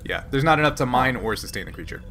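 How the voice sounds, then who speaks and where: A man's voice: medium pitch, expressive, fast, bright, forceful tone, a little hiss or hum. A man running a tabletop game as game master, describing scenes in a loose, rambling way. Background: background music.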